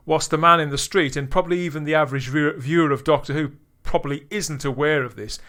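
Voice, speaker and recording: A man hosting a podcast discussion, talking in long rambling sentences full of restarts and getting louder when he gets excited. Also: medium pitch. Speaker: podcast host.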